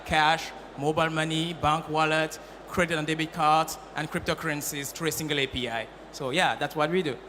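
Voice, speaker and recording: Hesitant bright-voiced Cameroonian man. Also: fairly high pitch, a little hiss or hum. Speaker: startup founder, panelist. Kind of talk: panel discussion.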